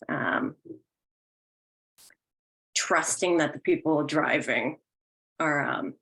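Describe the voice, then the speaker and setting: A woman's voice: deep voice, low-quality recording. A woman hosting a virtual question and comment session, encouraging and affirming as she talks, hesitantly searching for words. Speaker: host of an online audience discussion. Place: online session, remote call.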